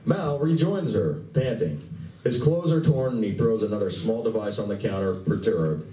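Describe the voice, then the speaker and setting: A man's voice: lowish voice, expressive, normal speed, dark tone. A man narrating a comedy radio play live, reading the script expressively with wry asides. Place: live convention event room. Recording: roomy, distant live recording, faint noise.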